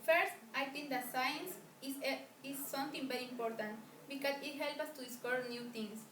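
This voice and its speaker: A woman acts out a famous woman scientist being interviewed in an amateur film. Her high voice is earnest and formal, with halting phrasing. The sound is roomy and distant, with faint noise. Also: a bit bright. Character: famous pioneering woman scientist, polite, earnest, proud talk-show guest.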